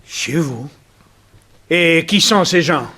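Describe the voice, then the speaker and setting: Bewildered gentleman, higher-pitched male voice. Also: animated, takes it slowly, heavily acted. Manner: stammering when baffled, formal. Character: bewildered, dignified, jealous wealthy gentleman. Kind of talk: 1960s French radio detective serial acting.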